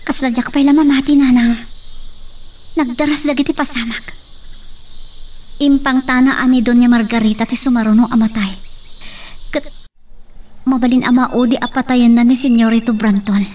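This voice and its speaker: A woman's voice: fairly high pitch, steady tone, moderate pace, pauses often, a little mellow, far from the mic, a little hiss or hum, lightly acted. A woman with an Ilocano accent playing an earnest, determined young daughter in a radio drama. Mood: worried.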